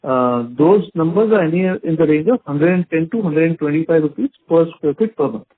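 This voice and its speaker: A company executive, answering an analyst patiently and politely. His voice is slightly high and husky. It comes through a dry, thin phone line. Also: expressive, brisk. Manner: polite, calls the analyst sir, patient explanations with fillers. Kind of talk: earnings call Q&A.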